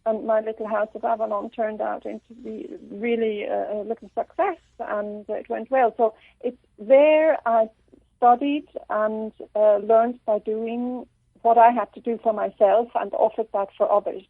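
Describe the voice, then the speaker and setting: A woman from Switzerland with a slight Irish accent, a radio interview guest who talks haltingly with many pauses and restarts. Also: on the high side, steady tone, no echo. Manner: halting, full of ums and restarts. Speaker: guest author. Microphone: telephone. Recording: tinny phone line.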